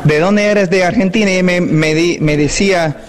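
A man with an American accent, a former missionary, speaking halting learner's Spanish with earnest warmth as a guest. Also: sits high, a crowd in the background. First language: English. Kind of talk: live call-in chat.

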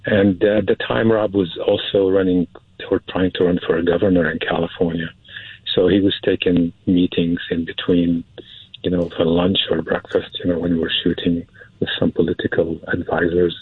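A man's voice: sits low, little pitch movement, normal speed, breathy edge, dry recording. A man from Syria, a film producer speaking as an interview guest, hesitant and repeating words for emphasis. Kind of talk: casual interview talk. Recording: dry, phone-like narrow band.

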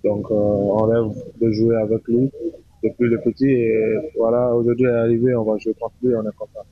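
A football player speaking in a short street interview, halting and plain. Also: male voice, lowish voice, level tone, no room sound, narrow, tinny audio.

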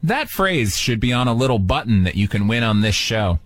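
A man's voice: mid-range voice, big pitch swings, normal speed. A man hosting a call-in radio show, trading dry, self-mocking jokes with a caller. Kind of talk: joking call-in radio banter.

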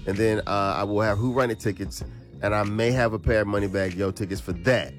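Congested radio host, hype banter. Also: male voice, lowish voice, steady tone, fairly quick, soft background music. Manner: hype, repetitive call-and-response.

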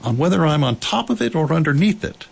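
A simple, earnest little storybook bear, voiced by a man, growly yet pitched up. He explains himself proudly and deliberately, with a lilting delivery. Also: sing-song, gravelly, breathy edge, exaggerated voice. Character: proud, modest, simple-minded little male teddy bear. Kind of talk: storybook character voice.

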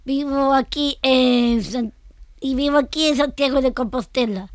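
A woman in her forties from Argentina giving simple, halting answers as an interview guest. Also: high-pitched, dry recording, studio quality. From Argentina, Buenos Aires. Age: 40s. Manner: hesitant, halting, short answers.

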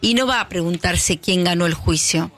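A man with an Argentine accent delivering a reflective radio monologue with measured, emphatic pauses. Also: high-pitched, pushed and bright. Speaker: radio host. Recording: radio broadcast with music beneath.

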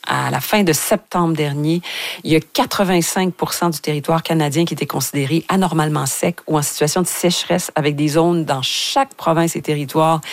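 Woman, radio host, lively questions, low-ish voice, dry sound. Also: dry recording. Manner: lively, curious, quick follow-up questions. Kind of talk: radio interview.

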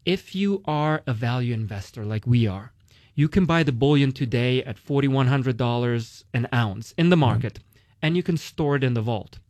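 A man's voice: medium pitch, lively intonation, normal speed, a little mellow, no echo. A man with a Romanian accent, a guest explaining investing in casual conversation, with many fillers. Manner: uses many "like" and "you know" fillers, explains with analogies.

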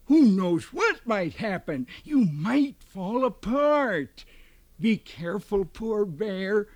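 A small, crafty storybook rabbit, pitched far above a normal male voice. The delivery is theatrical and drawn-out, with lively swoops as it persuades. It sounds close and dry over soft music. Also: no echo, full character voice. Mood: mock alarm, ominous. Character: small sly rabbit, quick-witted, mock-concerned trickster. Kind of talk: children's storybook character reading.

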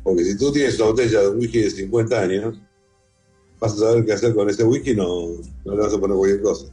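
A man's voice: fairly low pitch, fast talker, warm tone, husky, faint music behind, low-quality recording. A middle-aged man with an Argentine accent, answering conversationally as the guest in an interview. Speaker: interview guest, whisky museum founder and collector.

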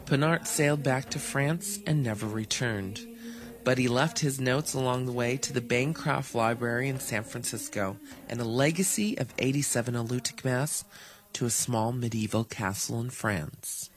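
A man reading scripted documentary narration in a measured, even way. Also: mid-range voice, crowd noise, low-quality recording.

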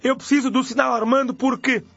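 Brazilian male religious radio host, very high voice, gravelly creak, dry dull audio. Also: level tone, brisk, fairly bright tone, dry recording. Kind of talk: live religious radio presenting.

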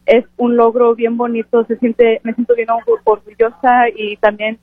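A woman of twenty-two giving an earnest, halting, unscripted interview answer. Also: fairly high pitch, flat delivery, dry recording. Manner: earnest, halting with self-corrections. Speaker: interviewed graduate student and scholarship recipient. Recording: phone-like radio broadcast sound.

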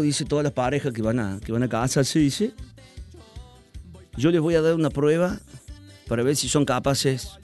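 A man's voice: mid-range voice, a little mellow. Argentine man telling a chatty joke. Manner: chatty storyteller, acts out the characters' lines. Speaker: joke teller.

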